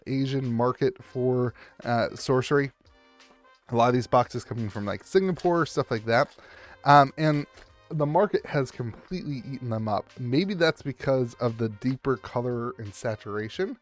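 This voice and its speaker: Young guy with a worn-out hoarse voice, chatty pack-opening host, bit of music behind. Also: medium pitch. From United States. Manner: chatty, calls out foils and talks to the viewer. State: losing his voice, hoarse. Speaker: channel host opening card packs. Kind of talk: casual pack-opening commentary.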